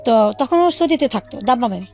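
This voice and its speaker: Woman w high voice telling her life story on radio, quotes old convos, soft music under it. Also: animated, quick pace. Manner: recounts in detail, quoting past conversations as dialogue. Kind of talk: personal storytelling in a radio interview.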